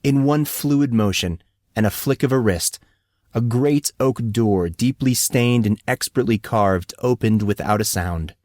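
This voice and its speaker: A man's voice: medium pitch, darkish tone, no echo, studio quality. A man reading scripted narration for a fantasy story as an audiobook narrator.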